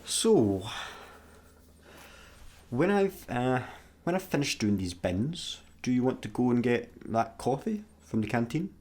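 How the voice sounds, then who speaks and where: A man with a Scottish accent playing an impulsive, excitable and awkwardly apologetic layabout in a sci-fi comedy radio play. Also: medium pitch, measured pace. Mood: sheepish.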